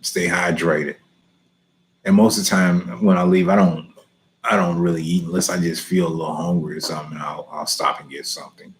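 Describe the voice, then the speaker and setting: A man's voice: low-pitched, steady tone, a little fast, poor audio. A middle-aged man from Houston with a Southern Texas accent, casually answering viewers' lawn care questions, laughing often. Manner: easygoing, laughs often, reads questions aloud then answers. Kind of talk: casual live Q&A chat.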